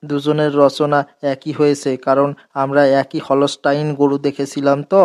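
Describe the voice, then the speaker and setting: A stubborn cartoon schoolboy, performed by a man. He is deadpan and insistent, in a fairly high voice that barely varies. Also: moderate pace, smooth, lightly acted. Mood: earnest explaining. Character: cheeky, stubborn schoolboy giving absurd answers. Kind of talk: comedy cartoon dialogue.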